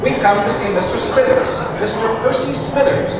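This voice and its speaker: A man performs a composed, dryly witty detective from an old radio play. The voice sits high and speaks with formal precision. It comes through a very echoey hall with loud noise. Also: moderate pace, recorded from a distance. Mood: composed, deliberate. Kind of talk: old-time radio drama acting. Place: live stage performance in a hall.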